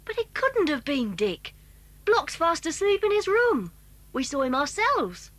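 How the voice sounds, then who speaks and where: A woman's voice: extremely high pitch, big pitch swings, a little slow, a bit bright, slight background noise, exaggerated voice. A woman playing an eager, bossy schoolboy adventurer in a children's audio drama, urgent yet well-mannered. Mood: urgent, hushed.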